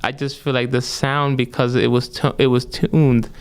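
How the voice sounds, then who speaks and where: A young adult man, a musician guest, telling stories in a relaxed, rambling way full of fillers. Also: mid-range voice, moderate pace, smooth. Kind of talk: casual storytelling interview.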